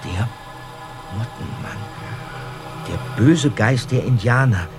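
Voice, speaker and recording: A man reading a passage from a book aloud in a suspenseful manner, swinging between loud and hushed. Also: mid-range voice, lively intonation, slow pace, music in the background. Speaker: reader.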